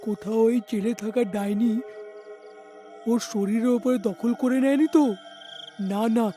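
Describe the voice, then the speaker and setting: A man's voice: very high-pitched, fairly even intonation, a little slow, mellow, soft background music. A man voicing a flustered, plaintive village policeman in a cartoon, exclaiming in an overwrought way. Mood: puzzled.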